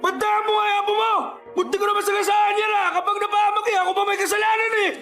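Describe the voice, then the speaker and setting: An actor playing an angry driver who shouts and scolds, with a Filipino accent, in a radio drama with a roomy echo. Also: male voice, extremely high pitch, level tone, fast talker, soft background music. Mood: furious. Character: angry, blaming male car driver. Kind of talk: Tagalog radio drama acting.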